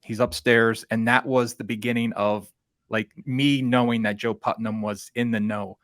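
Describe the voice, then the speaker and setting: A man's voice: medium pitch, flat delivery, normal speed, a bit bright, no room sound, studio quality. A man hosting a casual podcast interview in a conversational, roundabout, storytelling way. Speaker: podcast host.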